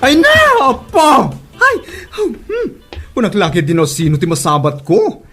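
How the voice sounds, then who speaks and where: A woman's voice: mid-range voice, wildly swooping pitch, measured pace, faint music behind. A woman playing a cheeky, bold village gossip in a comedy drama, with a teasing, exclamatory manner. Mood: teasing, startled. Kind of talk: Ilocano comedy drama acting.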